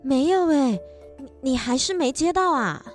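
Woman acting middle-aged mother, Taiwanese accent, placating, practical, higher pitch, radio drama, faint music behind. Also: animated, unhurried. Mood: concerned. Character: sensible, soothing middle-aged mother and daughter-in-law.

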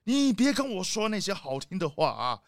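High-pitched blustering animal tycoon. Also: male voice, sing-song, normal speed, dry recording, clean studio sound. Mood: angry scolding. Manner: blustering, scolding, indignant. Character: bossy, irascible male animal tycoon, blustering villain. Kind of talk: children's radio drama acting.

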